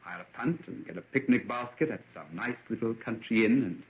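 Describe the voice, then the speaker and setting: A man acting a hearty, teasing young English gentleman with a British accent in an old-time radio drama, jovial and bantering. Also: medium pitch, brisk, natural voice. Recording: dull, band-limited vintage radio recording.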